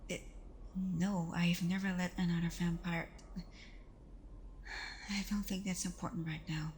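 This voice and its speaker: A woman playing a tender, playful vampire girlfriend in an intimate ASMR roleplay, speaking softly and often slipping into whispers with hesitant pauses. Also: fairly low pitch, steady tone, a little hiss or hum, close to an everyday voice. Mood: teasing, reassuring. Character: tender female vampire girlfriend, reassuring, playful, a little vulnerable.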